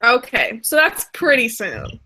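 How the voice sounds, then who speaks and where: A young woman in her early twenties hosting a casual video call, chatty and quick to laugh. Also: a little high, wildly swooping pitch, bright, forceful tone. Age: young adult. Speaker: livestream host. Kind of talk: casual group video chat. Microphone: computer mic on a video call.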